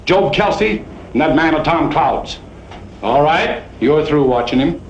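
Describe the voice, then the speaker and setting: A man's voice: a little high, lively intonation, measured pace, muffled recording, slight background noise. Commanding, echoey patriarch played by a man. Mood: angry, commanding. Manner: commanding, scolding. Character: domineering, demanding father berating his lawman son. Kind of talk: Western drama acting.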